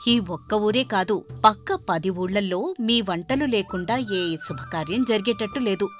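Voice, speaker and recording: A woman's voice: medium pitch, measured pace, soft background music, close to an everyday voice. A woman voicing a frank, sensible village cook's wife in a conversational way in a cartoon moral story. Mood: teasing, admiring.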